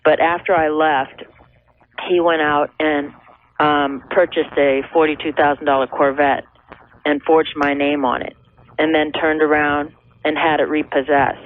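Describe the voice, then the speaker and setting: A woman's voice: low-pitched, flat delivery, a little slow. An American woman in her thirties speaks as a caller to a radio advice show. She explains her situation earnestly and a little hesitantly, in conversational phrases. The sound is a thin telephone line on an old radio tape. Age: 30s. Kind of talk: conversational personal account.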